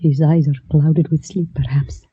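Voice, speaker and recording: A woman's voice: sits low, steady tone, normal speed, slightly rough, no echo. An actress playing a bold, commanding foreign woman on the run in a radio drama, speaking in terse, formal phrases. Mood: hushed, wary. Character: bold, urgent foreign woman fugitive, admiring and seductive. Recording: muffled old radio recording, music behind.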